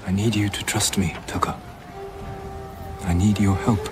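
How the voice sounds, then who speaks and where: Casting: man as grave, resolute samurai lord, low calm voice, game cutscene, music behind. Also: a little slow, natural voice. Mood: earnest, urgent. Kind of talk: dramatic video game acting.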